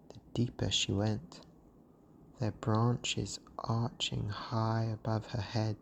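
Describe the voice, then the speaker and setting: Very slow, hushed bedtime story narrator, dark tone. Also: mid-range voice, a little hiss or hum. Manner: hushed, breathy, gentle delivery.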